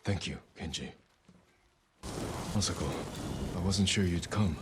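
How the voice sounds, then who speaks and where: An actor playing a resolute samurai war leader in a video game, speaking in a measured, grave, commanding way. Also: male voice, deep voice, background noise. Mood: warm, steady. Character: resolute samurai leader, solemn, loyal to his companions. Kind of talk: dramatic video game acting. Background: music.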